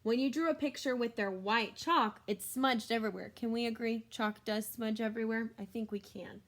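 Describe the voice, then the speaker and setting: A woman's voice: fairly high pitch, normal speed, fairly bright tone. A woman schoolteacher reading a picture book aloud to children, expressively, with chatty explanatory asides to her young listeners.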